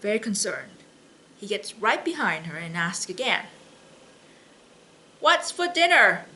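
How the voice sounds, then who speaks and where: Slow, bright-voiced woman telling jokes casually. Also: medium pitch, sing-song, slight background noise, poor audio. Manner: halting, chatty, with false starts and slips. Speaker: library story and joke reader. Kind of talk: casual read-aloud of jokes with personal chat.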